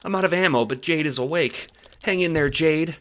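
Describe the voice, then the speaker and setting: A man voicing an excitable, awkward teenage boy in an audio drama, stammering and exclaiming. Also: fairly high pitch, normal speed, pitched above the performer's own voice, close to an everyday voice. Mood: panicked.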